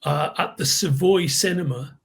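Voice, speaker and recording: A man in his fifties from with a slightly raspy voice, a podcast guest who rambles and repeats words while he recalls. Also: fairly high pitch, normal speed. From Liverpool, England. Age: 50s. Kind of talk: casual podcast chat.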